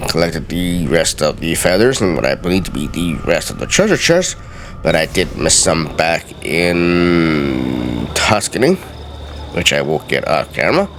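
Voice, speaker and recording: A man giving let's play game commentary and dramatically reading in-game texts aloud in a theatrical, deliberate way. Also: very deep voice, very animated, fairly bright tone, slight background noise.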